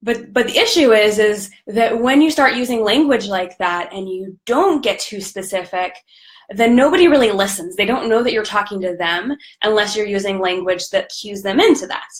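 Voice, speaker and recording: Upbeat woman with a slightly raspy voice. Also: medium pitch, normal speed. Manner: upbeat, chatty, greets viewers by name. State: recovering from a cold. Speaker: podcast host and business coach. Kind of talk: live-streamed coaching talk.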